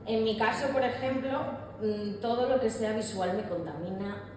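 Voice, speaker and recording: A woman giving a candid, reflective public talk, often pausing and restarting her sentences. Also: on the high side, darkish tone. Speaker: artist speaker. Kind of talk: public talk on mental health. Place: large echoey hall. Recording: echoey hall with long reverb, audible room noise.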